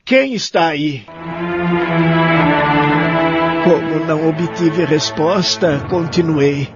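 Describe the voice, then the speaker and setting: A man's voice: a little high, slow. A man playing a lonely, remorseful elderly care-home resident in an audio drama, speaking earnestly and wistfully. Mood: reflective, uneasy. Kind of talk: audio drama acting and first-person narration. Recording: dull lo-fi audio, faint music behind.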